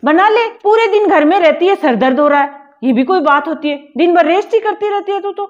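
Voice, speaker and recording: A domineering mother-in-law, acted by a woman in a home family skit, sharp-tongued with the daughter-in-law she does not favour. Her voice sits high and comes through a dull, lo-fi recording. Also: brisk, close to an everyday voice. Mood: scolding. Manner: sharp scolding, turns remorseful. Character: domineering middle-aged mother-in-law, partial to one daughter-in-law.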